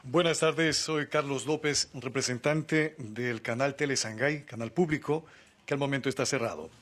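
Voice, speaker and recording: A man's voice: medium pitch, moderate pace, a bit bright. A man, a channel representative reading a formal statement at a hearing. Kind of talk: formal prepared statement, read aloud.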